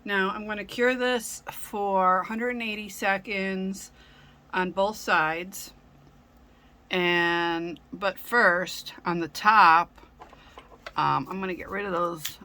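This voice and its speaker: A woman hosting a casual craft tutorial, speaking gently with frequent ums, her voice a little raspy from a sore throat. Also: mid-range voice, fairly even intonation, slow. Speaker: craft channel host.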